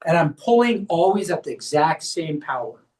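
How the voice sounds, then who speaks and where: A man's voice: a little high, big pitch swings, normal speed, a little mellow, recorded from a distance. A man, a chiropractor, giving a hands-on demonstration workshop and explaining each step as he guides a volunteer. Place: clinic workshop room.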